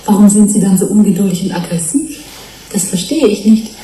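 An actress playing a gentle, puzzled human-fish hybrid in a German radio play, with a plaintive, questioning manner. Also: female voice, medium pitch, expressive, fairly quick, soft background music, natural voice. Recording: roomy sound with faint noise.

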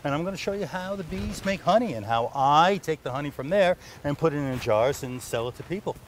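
A man's voice: a little high, expressive, brisk, a little hiss or hum. A middle-aged man, a beekeeper explaining his work in casual, rambling speech. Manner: chatty, lots of fillers and restarts. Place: outdoors in a garden.

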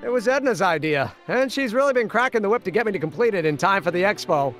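An actor playing a self-assured, nerdy young inventor in a video game, breezy and wordy in an old-fashioned way. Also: male voice, high voice, animated, quick pace, a bit bright, faint music behind. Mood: eager. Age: young adult.

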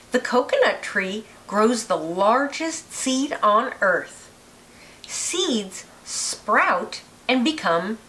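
A woman with a fairly high voice reads a picture book aloud for children. Her delivery is slow and carefully phrased, with a lively rise and fall. A faint hiss sits behind her in the recording. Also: rough recording. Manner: deliberate, expressive phrasing for children. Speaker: read-aloud narrator, teacher.

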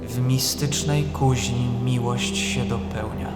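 An actor playing a solemn poet-narrator in an audio drama, reciting verse in a measured, reflective way. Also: male voice, mid-range voice, steady tone, lightly acted. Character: solemn male poet-narrator writing verse among mythic deities. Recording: very echoey hall reverb, loud music.

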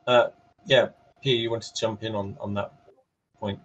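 A man's voice: lowish voice, level tone. A man moderating a panel casually, repeating words as he feels his way into questions. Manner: halting, repeating words while framing questions. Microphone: video call. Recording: dull lo-fi video-call audio.

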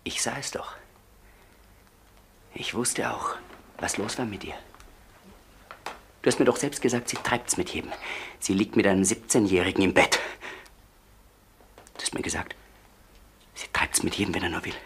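An actor playing a tormented man confessing in a crime radio play, haltingly, often dropping toward a whisper. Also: sits low, slightly rough, exaggerated voice. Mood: agitated, accusing. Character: tormented man confessing a killing to his brother. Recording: slightly noisy old radio play recording.

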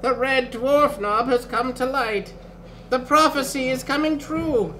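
A man's voice: very high-pitched, a little slow, pushed and bright, a little hiss or hum, pitched above the performer's own voice, heavily acted, rough recording. A man voicing a wizened, kindly old wizard with a musing, dramatic delivery in a fantasy story. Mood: hushed awe.

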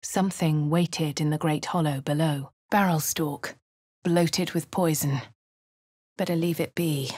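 A posh female adventurer with a posh English accent, recounting her fights in first person. Her voice sits a little low and has a husky grain, recorded dry and close in a game studio. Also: steady tone, a little slow, darkish tone, no room sound. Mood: wry. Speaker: player character voice.

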